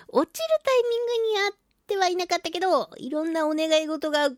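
A woman hosting a solo radio talk show, chatting about daily life in an animated, giggly, exclamatory way. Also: extremely high pitch, normal speed, no room sound, studio quality. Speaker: voice actress.

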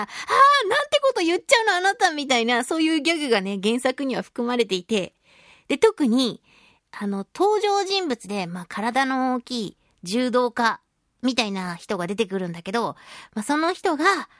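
A woman talks as a radio host in a casual, expressive monologue. Her voice is high and swings widely in pitch as she recounts things. The sound is dry, with little room in it. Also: no room sound. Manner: animated, expressive, acts out reactions and quotes. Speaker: radio show host, voice actress. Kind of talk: casual monologue talk, recounting a film outing.

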